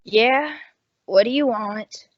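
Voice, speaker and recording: A woman playing a gruff, suspicious, money-minded rooming house landlady in an old-time radio detective drama, blunt, slangy and wisecracking. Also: on the high side, slow, highs cut off, a little hiss or hum. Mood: suspicious, hostile.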